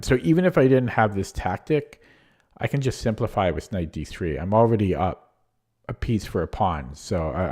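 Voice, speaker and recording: Low mellow-voiced man losing his voice. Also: slightly rough. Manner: thinking aloud, hesitant with many ums and restarts. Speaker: chess streamer. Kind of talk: casual game review commentary.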